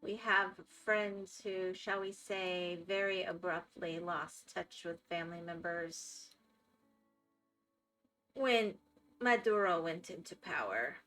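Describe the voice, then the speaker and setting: Woman, Florida accent, chatty streamer, bright clear tone, faint music behind. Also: lowish voice, slow, low-quality recording. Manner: chatty, reacting to viewer chat. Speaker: live streamer. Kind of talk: casual chat while gaming.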